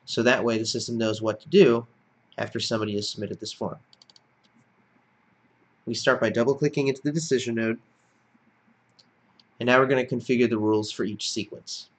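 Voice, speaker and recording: A man's voice: lowish voice, fairly even intonation, normal speed, pauses often. A man giving step-by-step screencast narration as an instructor, in a plain-spoken, explanatory manner. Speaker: software tutorial instructor.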